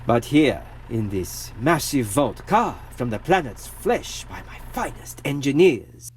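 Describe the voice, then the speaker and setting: An actor playing a grandiose, idealistic rebel leader who narrates an audio comic drama in a theatrical, deliberate way. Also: male voice, mid-range voice, sing-song, a little hiss or hum. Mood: solemn, dramatic.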